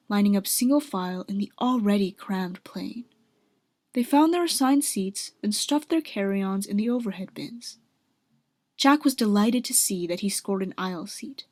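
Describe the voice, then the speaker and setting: A woman narrating a radio drama from a script in a husky, breathy voice. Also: mid-range voice, a little mellow, no echo.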